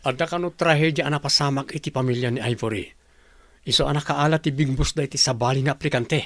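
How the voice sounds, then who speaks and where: A man's voice: mid-range voice, quick pace, a bit bright, dry recording, natural voice. A man acting a matter-of-fact friend and colleague in a Filipino radio drama, with an Ilocano accent. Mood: explaining.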